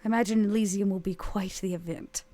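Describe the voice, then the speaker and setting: A woman voicing a haughty, coolly menacing vampire in a tabletop roleplay, deliberate, formal and condescending. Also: medium pitch, steady tone, mellow, lightly acted. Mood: cool menace.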